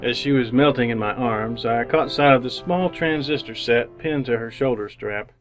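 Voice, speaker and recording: Male actor as lonely city bachelor, halting, bemused, mid-range voice, old-time radio drama narration. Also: medium pitch, over music, lightly acted. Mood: wistful narration. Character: awkward, lonely city bachelor seeking romance.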